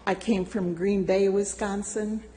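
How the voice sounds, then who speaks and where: An older woman reading a prepared personal testimony to a church congregation, slow and deliberate with gentle humor. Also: medium pitch, steady tone, big-room sound, a little hiss or hum. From United States. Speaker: church member giving a testimony. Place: church sanctuary.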